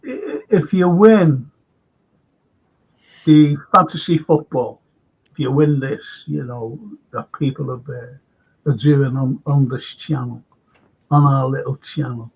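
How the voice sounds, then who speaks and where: A man's voice: mid-range voice, creaky, breathy edge, muffled recording. An older man hosting a casual fan chat show, rambling and chatty with lots of "you know". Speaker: host.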